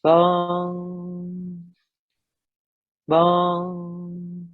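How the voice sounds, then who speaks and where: A woman reads sound effects aloud in a deadpan, repetitive way. Her delivery is extremely slow and flat, with a dull, narrow-band sound. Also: lowish voice, no echo. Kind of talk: reading sound effects aloud, single words. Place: remote video call. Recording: muffled band-limited audio, music behind.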